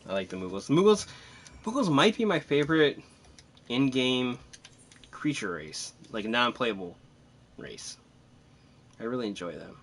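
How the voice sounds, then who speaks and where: A man's voice: mid-range voice, very animated, unhurried, long pauses, slight background noise. A man casually commentating on his live game stream, chatty and self-deprecating, thinking aloud as he plays.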